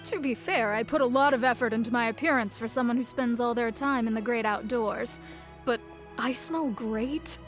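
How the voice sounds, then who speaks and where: Game guild master, female actor: brisk, wry woman adventurer, slightly high voice, faint music behind. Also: close to an everyday voice. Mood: wry, dismissive. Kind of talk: video game voice acting.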